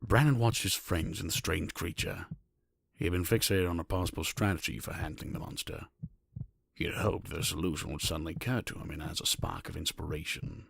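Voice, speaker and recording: A man with a low, creaky voice narrates a scripted fantasy tale. His sound is crisp and close, with almost no room on it. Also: fairly even intonation, brisk, fairly bright tone, no room sound.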